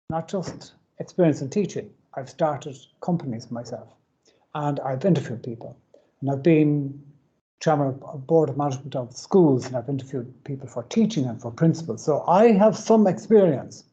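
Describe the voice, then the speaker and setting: A man in his seventies with an Irish accent, whose first language is Irish, giving an earnest, measured talk as a guest speaker at a university event. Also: fairly high pitch, mellow, lo-fi sound. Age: 70s.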